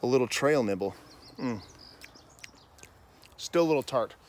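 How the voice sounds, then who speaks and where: A man's voice: mid-range voice, expressive, measured pace, darkish tone, slight background noise. A man in his thirties on an outdoor nature trail, chatty and enthusiastic as he points out plants, recorded on the camera's mic. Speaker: gardening vlogger. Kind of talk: walking nature vlog. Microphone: handheld camera framing, on-camera mic.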